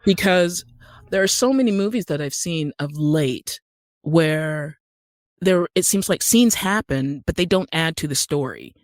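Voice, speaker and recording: A man's voice: a little high, lively intonation, unhurried, no echo, clean studio sound. A man talking conversationally on a podcast about his craft, opinionated and emphatic.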